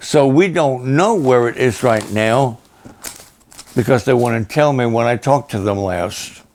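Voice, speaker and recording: An older male pastor with a raspy, hoarse voice, talking plainly and haltingly to his supporters. He often pauses and repeats himself. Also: medium pitch, a little mellow, a little hiss or hum. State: hoarse after illness and a long program. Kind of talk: slow personal update to supporters.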